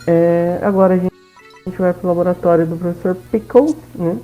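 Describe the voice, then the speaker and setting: A woman's voice: on the low side, steady tone, moderate pace, faint music behind. A woman with a Brazilian accent giving a casual, informal let's play recap as voice-over, often checking in with listeners. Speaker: gaming video host. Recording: dull lo-fi voice-over.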